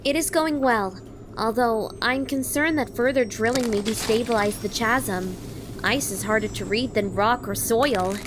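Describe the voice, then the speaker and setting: Woman as an earnest, higher-voiced female robot. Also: unhurried, noisy background, close to an everyday voice. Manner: formal, literal, hesitant. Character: earnest, naive young female robot puzzled by emotion. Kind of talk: comic dub voice acting. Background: prominent music.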